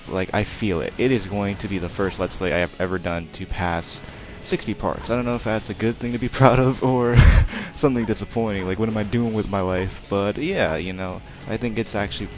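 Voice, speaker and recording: A young man giving rambling, casual let's play commentary with a naturally quiet voice. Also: low voice. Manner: rambling, chatty, self-deprecating, many fillers. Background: game music.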